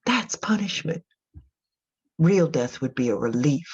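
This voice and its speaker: A woman acting in a radio drama as an anguished, guilt-ridden mother in prison, speaking in a halting, confessional way. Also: deep voice, lively intonation, darkish tone, husky, dull audio, full character voice. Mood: bleak, lost. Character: guilt-ridden imprisoned mother who killed her daughters.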